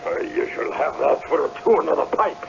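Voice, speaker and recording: Gravelly, blustering laird. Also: male voice, on the high side, big pitch swings, normal speed, exaggerated voice. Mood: booming welcome. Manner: theatrical, commanding, blustering. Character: imperious, crafty, blustering old Scottish laird. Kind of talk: old-time radio drama acting. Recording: dull, hissy vintage radio broadcast.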